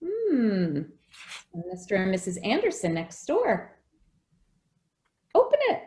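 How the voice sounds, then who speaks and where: Attrice nei panni di affettuosa tata, molto espressiva. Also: female voice, medium pitch, takes it slowly. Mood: gently coaxing. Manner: coaxing, expressive. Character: affectionate, fretful female caretaker of a young child. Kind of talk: radio drama acting.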